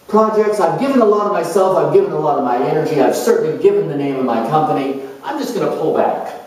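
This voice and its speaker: A man playing a flamboyant, vain big boss, swooping voice. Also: high voice. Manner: flamboyant, dramatic pauses, self-admiring. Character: vain, theatrical, self-important company boss, wounded and grandiose. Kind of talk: solo astrological character dramatization. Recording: echoey room, distant microphone.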